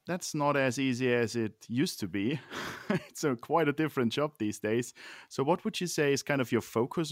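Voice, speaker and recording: A man's voice: medium pitch, no room sound, clean studio sound. A middle-aged man hosting an interview over a video call, asking questions with a casual, hesitant manner. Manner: hesitant, restarts questions with fillers. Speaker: podcast host.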